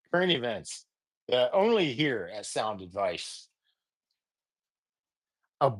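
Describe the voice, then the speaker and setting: A man with a deep voice hosting a casual audio gear tutorial, rambling and halting with many fillers. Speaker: podcast host.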